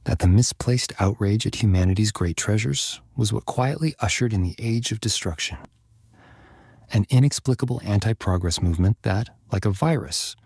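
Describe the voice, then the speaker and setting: A man narrates a dramatic serialized story in a low voice with a husky, breath-worn grain. The recording is dry and close, with no room around the words. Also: a little mellow, dry recording. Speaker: audiobook narrator. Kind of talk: scripted dramatic fiction narration.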